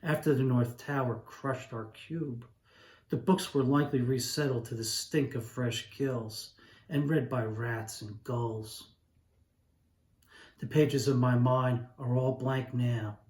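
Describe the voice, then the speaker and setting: An echoey room surrounds a mature man with a mid-pitched voice. He speaks as a poet sharing his work and memories, in a conversational and reflective manner that can be halting. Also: a little mellow. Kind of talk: poetry reading and informal reminiscence.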